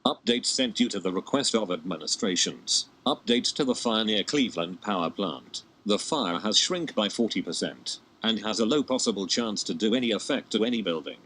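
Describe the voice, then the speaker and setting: A man's voice: on the low side, animated, moderate pace, few pauses, fairly bright tone, rough recording. A man reading scripted emergency broadcast warnings as an announcer, formal and impersonal.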